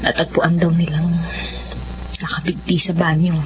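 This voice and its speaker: An actress playing a gentle, loving wife in a radio drama, measured and solemn. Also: female voice, lowish voice, level tone, lightly acted. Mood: grave. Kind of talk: Filipino radio drama acting. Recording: dull lo-fi radio, faint noise.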